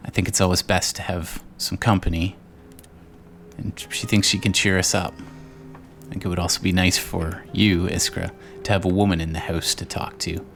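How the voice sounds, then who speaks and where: A man playing a devoted, weary father in a besieged city, speaking a journal to a daughter in a grave, tender, measured way. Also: low voice, gravelly. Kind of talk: dramatized journal narration addressed to his daughter. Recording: music under the voice.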